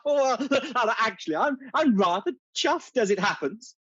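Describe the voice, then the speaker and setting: An actor playing a mad, good-hearted inventor in a radio pantomime, eccentric, giggly and husky. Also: medium pitch, sing-song, unhurried, muffled recording. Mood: chuffed, excited.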